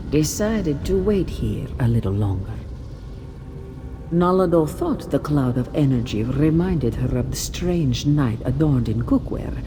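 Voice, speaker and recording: A woman playing a weary, proud cat-folk soldier's spirit in a game, grave and measured, speaking of herself in the third person. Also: extremely low pitch, heavily acted.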